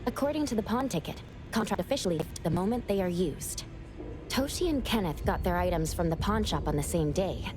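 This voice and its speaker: A voice actress playing a composed, analytical young female investigator in a video game, reasoning aloud in a measured, serious way. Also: lowish voice, expressive, over music, close to an everyday voice, rough recording. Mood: intent, suspicious. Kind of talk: video game character acting.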